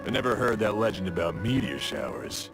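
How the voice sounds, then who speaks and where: An actor playing a proud, exacting blacksmith in a video game, speaking in a measured, wry, matter-of-fact way. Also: male voice, lowish voice, lively intonation, rough recording. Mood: skeptical. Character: proud, exacting male blacksmith, gruff but obliging craftsman. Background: game music.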